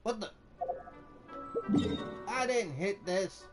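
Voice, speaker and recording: A man's voice: high voice, expressive, extremely slow. A man giving casual live commentary on his gameplay, muttering to himself in mild exasperation. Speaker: game streamer. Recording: game music behind the voice. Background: video game music.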